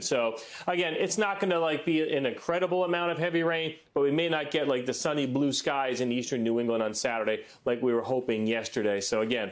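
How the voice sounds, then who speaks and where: A man presenting a live TV weather forecast in a relaxed, conversational way with light asides. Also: medium pitch.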